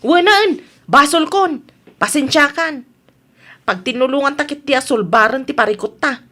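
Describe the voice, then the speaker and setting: A woman's voice: sits high, normal speed, sharp tone. A woman acting an upset village woman, pleading and emphatic, in an Ilocano radio comedy drama. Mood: apologetic. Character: upset, apologetic but forceful village woman.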